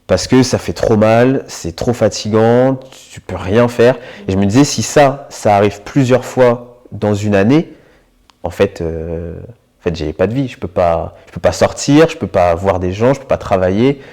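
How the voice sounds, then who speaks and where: A man in his twenties from the Paris region, giving a reflective, hesitant personal testimony in an interview, with frequent filler pauses. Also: on the low side, darkish tone. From Paris region, France. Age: 20s. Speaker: interviewee sharing his experience with illness.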